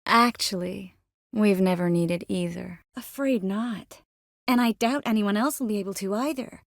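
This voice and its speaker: An actress playing a determined, warm young sorceress in a fantasy video game, speaking earnestly and expressively with a gently teasing manner. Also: female voice, medium pitch, normal speed, no echo, studio quality, lightly acted. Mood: earnest, composed. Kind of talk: video game dialogue acting.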